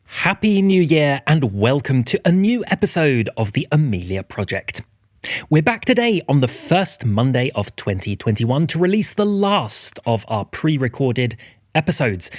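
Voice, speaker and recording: A man's voice: mid-range voice, sing-song, a little slow, dry recording, studio quality. A man speaking as a podcast host, reading a scripted announcement to listeners.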